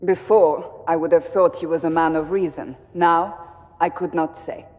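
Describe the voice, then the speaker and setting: An actress playing a serious, sceptical warrior advisor in a video game, grave and direct in manner. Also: female voice, low voice, fairly even intonation, measured pace, big-room sound. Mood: troubled. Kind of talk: dramatic video game dialogue.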